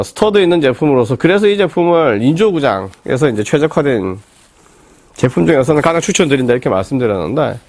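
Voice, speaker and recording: A man in his forties with a cold, hoarse and rambling as he reviews football boots in a small indoor room. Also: mid-range voice, darkish tone, dry recording. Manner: rambling, with many fillers and restarts. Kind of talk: casual product review talk.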